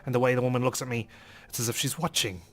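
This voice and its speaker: A man playing and reading a visual novel aloud in a dramatic, expressive way as a let's player. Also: mid-range voice, quick pace. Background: game music.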